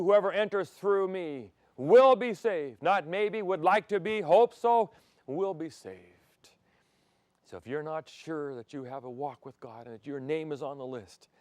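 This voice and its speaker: An older male pastor preaches to camera in a television studio, voice sitting at an ordinary middle pitch. The sound is close and dry from a lapel mic, with no room behind it. He swings from loud, ringing emphasis to hushed, personal moments. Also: dry recording. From Thailand. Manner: animated preaching, swings from loud emphasis to soft intimacy, gestures freely. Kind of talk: televised sermon. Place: TV studio set.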